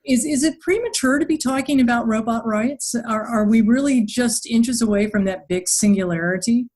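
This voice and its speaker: A woman's voice: a little high, moderate pace, darkish tone. Female podcast host, casual interviewer.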